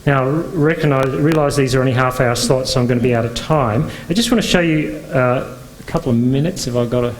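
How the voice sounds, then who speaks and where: A man's voice: medium pitch, brisk, slight background noise, rough recording. A man from Northern Ireland giving a conference talk as a pastor, speaking conversationally with frequent fillers and restarts. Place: conference venue.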